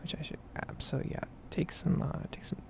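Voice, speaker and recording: A woman softly whispering a casual, rambling ASMR commentary over a game. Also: normal speed. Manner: soft-spoken, rambling, casual asides. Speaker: gaming video creator.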